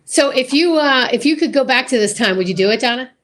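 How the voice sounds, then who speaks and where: A woman's voice: high-pitched, lively intonation, fast talker, a bit bright. A woman hosting a show in casual livestream chat, talking in an enthusiastic, gushing way. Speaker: show host.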